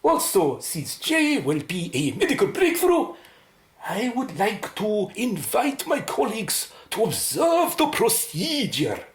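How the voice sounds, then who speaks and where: A proud, excitable medical scientist in a radio play, presenting her experimental cure. She speaks slowly and formally, with sweeping, animated intonation, in a roomy, echoing space. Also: mid-range voice. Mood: eager, courteous. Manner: formal, showy, precise. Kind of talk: radio drama acting.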